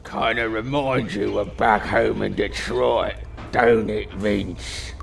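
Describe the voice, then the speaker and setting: A man playing a menacing, drawling gang henchman with a gravelly voice in an audio drama. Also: on the low side, fairly even intonation, slow pace, faint music behind, full character voice. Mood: sly. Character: slow, menacing male henchman of supernatural gang. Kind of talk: audio drama character acting.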